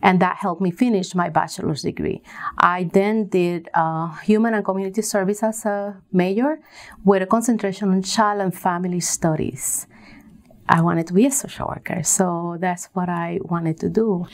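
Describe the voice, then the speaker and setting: A woman from Puerto Rico giving conversational answers as an interview guest, pausing often as she tells her story. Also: on the low side, steady tone. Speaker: interview guest, business coach.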